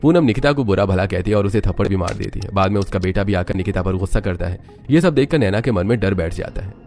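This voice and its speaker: A man's voice: low-pitched, quick pace, a little mellow. A man narrating a scripted dramatic story, with music underneath.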